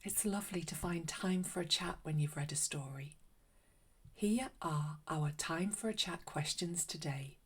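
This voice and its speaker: A woman narrating a read-aloud story for children in a gentle, unhurried, expressive way. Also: lowish voice, slightly rough. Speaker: children's story reader and host.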